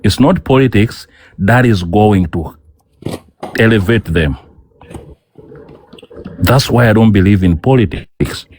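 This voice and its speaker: A man's voice: fairly low pitch, takes it slowly, pauses often, a little mellow, a little hiss or hum. A man from Uganda arguing in a heated online debate, insistent and repeating himself as he talks over the other speaker. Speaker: debate guest.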